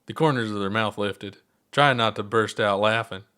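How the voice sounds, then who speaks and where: A man reads a story aloud in a somewhat low voice. He narrates from a script as the author of the tale. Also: normal speed, pushed and bright, smooth.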